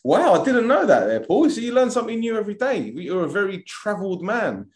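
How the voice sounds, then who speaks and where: A man's voice: high voice, very animated, a little fast. Podcast host man in an echoey room. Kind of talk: casual podcast interview.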